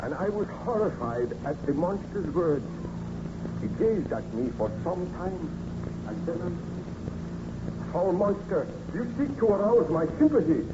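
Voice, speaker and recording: Noisy vintage radio drama, anguished baron, higher-set voice, formal, theatrical, haunted. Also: male voice. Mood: horrified, indignant. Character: anguished, recovering Baron, haunted and horrified by his creation. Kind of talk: 1930s radio acting. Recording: dull, noisy old radio recording.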